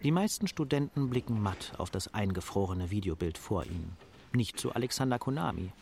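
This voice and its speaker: Low-voiced, detached radio-drama narrator. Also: male voice, animated, fairly quick, gravelly, darkish tone, a little hiss or hum. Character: sober, detached male narrator. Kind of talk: scripted present-tense radio drama narration.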